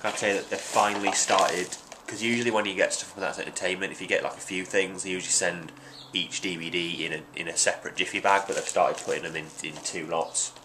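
A man's voice: deep voice, fairly even intonation, quick pace, few pauses, bright, forceful tone. A young man in his twenties with a congested voice from hay fever, chatting casually and rambling with many ums as he unboxes items at home. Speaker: vlogger. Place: small room at home, indoors. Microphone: webcam at desk. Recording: noisy home webcam audio.

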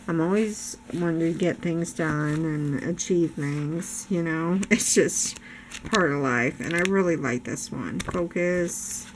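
Older woman, crafting vlogger, slightly low voice, hoarse from talking, noisy room recording. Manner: chatty, enthusiastic, frequent laughs. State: losing her voice. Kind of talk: casual haul show-and-tell. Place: craft room table, indoors. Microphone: camera above a craft table.

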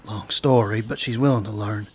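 An actor playing a gruff, blunt old-west marshal in a radio drama. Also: male voice, fairly low pitch, fairly even intonation, normal speed, noisy background, lightly acted. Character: gruff weary male marshal in 1909.

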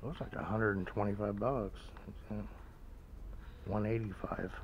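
A man giving casual walk-through commentary as a vlogger, rambling and musing aloud in a low-key way. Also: fairly even intonation, a little slow, muffled recording. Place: large indoor antique mall, walking around. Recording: background noise, store ambience.

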